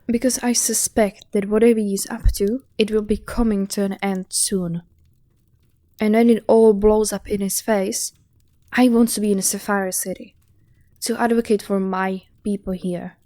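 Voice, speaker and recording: A woman's voice: mid-range voice, fairly even intonation, normal speed, mellow, dry recording, lightly acted. A woman playing a composed, decisive and shrewd village advocate in a fantasy audio drama, speaking with poise. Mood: earnest, resolute. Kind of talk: fantasy audio roleplay acting.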